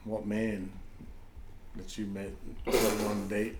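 A man's voice: on the low side, completely flat delivery, slow, echoey room, crowd noise. A man co-hosting a casual podcast who chimes in with teasing quips. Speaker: podcast co-host.

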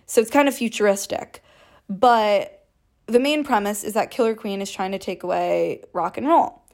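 A young woman in her twenties with a low alto voice, hosting a casual solo podcast, chatty and sprinkling in "like" and swear words. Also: normal speed, creaky, darkish tone. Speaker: podcast host.

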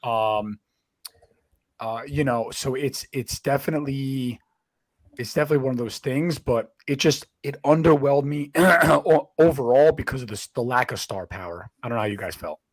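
A man with a hoarse, laryngitis-strained voice in casual sports podcast chat, often clearing his throat. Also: medium pitch, moderate pace, no room sound. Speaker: wrestling podcast co-host.

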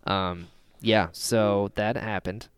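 A man co-hosting a casual fan discussion, animated and jokey, acting out quotes as he goes. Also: on the low side, slow, clean tone, dry recording. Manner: animated, jokey, mimics characters in exaggerated voices. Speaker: podcast co-host.

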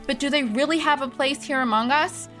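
A woman's voice: sits high, a little fast, fairly bright tone, faint music behind. An actress playing a skeptical, principled female doctor in an audio drama, questioning and challenging. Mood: disapproving, challenging.

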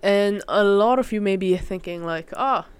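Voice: mid-range voice, moderate pace, smooth, no room sound. A young adult from Malaysia chatting casually and solo as a podcast host, in a rambling, informal way that often breaks off and restarts with mild slang.

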